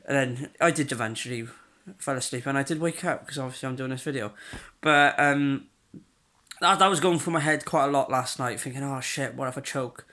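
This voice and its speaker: A man's voice: medium pitch, fairly quick, sharp tone. A young man, chatting in a rambling home video diary, congested and coughing with a sore throat. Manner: rambling, chatty, frequent fillers and restarts. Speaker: vlogger.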